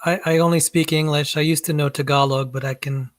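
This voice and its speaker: A man's voice: fairly high pitch, steady tone, a little fast, no room sound, studio quality. A man making polite, casual conversation with a fellow presenter. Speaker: debate participant.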